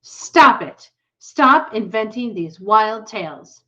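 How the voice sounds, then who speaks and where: A woman's voice: on the high side, expressive, slow, sharp tone, lo-fi sound, voice pushed up, exaggerated voice. A woman reading the part of a worried, puzzled farm mother in a storybook.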